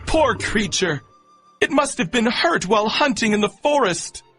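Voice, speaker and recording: A man's voice: sits high, unhurried. A man playing a timid, kind-hearted runaway slave in a children's cartoon, earnest and exclamatory. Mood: worried pity. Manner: exclamatory, over-expressive. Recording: music underneath.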